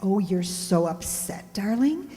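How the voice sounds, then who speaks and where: Casting: actress as doting, eager-to-please wife for radio drama, slow and cooing, in an echoey hall. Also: female voice, medium pitch, sing-song, mellow. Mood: soothing, puzzled. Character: doting, soothing, sweetly attentive wife. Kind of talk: live radio play acting. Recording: echoey hall with long reverb.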